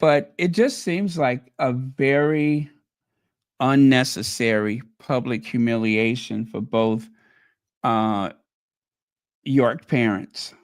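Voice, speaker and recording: Male livestream host, mid-pitched, slow and rambling, chatty with viewers, voice fading. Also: no echo, studio quality. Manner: chatty and musing, thinking aloud with many ums. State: losing his voice. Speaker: channel host. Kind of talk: casual livestream chat.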